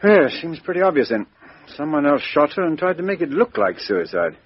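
Commanding inspector, animated, husky, dry lo-fi 1950s radio. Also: male voice, a little high. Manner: brisk, commanding, lively. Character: brisk middle-aged police inspector. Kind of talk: 1950s radio drama acting. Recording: dry, dull lo-fi old radio recording.

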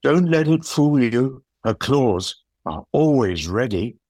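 A man narrating an audio play as a scripted storyteller, in a measured manner. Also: mid-range voice, sing-song, darkish tone, no echo, studio quality.

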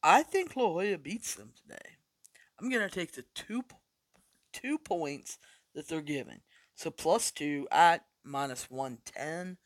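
Slow-talking man hosting a betting podcast. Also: on the high side, expressive, no echo. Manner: casual, chatty, deliberate picks. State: recovering from losing his voice, coughing. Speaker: podcast host.

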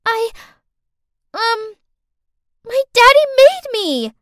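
A woman's voice: extremely high pitch, big pitch swings, extremely slow, no echo, clean studio sound, voice pushed up, exaggerated voice. A woman voicing a scheming little girl in a story, with pushy, childish, whiny delivery. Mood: flustered.

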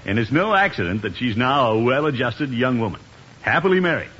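An actor playing a pompous, self-satisfied middle-aged uncle in a 1950s radio comedy. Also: male voice, medium pitch, big pitch swings, brisk, a bit bright. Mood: smug. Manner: pompous, preening, chuckling. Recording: muffled, noisy 1950s radio recording.

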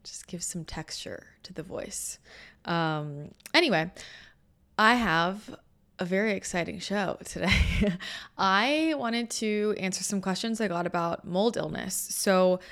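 A woman's voice: medium pitch, slow, fairly bright tone. A woman in her thirties hosting her own podcast, with a slightly scratchy voice, talking in a chatty, rambling way full of self-corrections. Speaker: podcast host, channel and energy healer. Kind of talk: casual solo podcast talk.

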